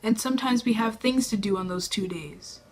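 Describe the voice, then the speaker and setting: A teenage girl from New York State talking to the camera in her bedroom, speaking low and subdued, self-conscious and rambling with many fillers. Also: moderate pace, a little mellow, slightly rough. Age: teens. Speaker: vlogger. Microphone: camera in her room.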